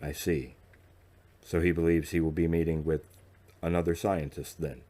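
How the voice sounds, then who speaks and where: Audiobook role: gentle otherworldly male played by a male narrator, low, hushed, measured, uneasy. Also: level tone. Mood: guarded, uneasy. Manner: soft-spoken, evenly and gently paced. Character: nervous, gentle, otherworldly male interview guest.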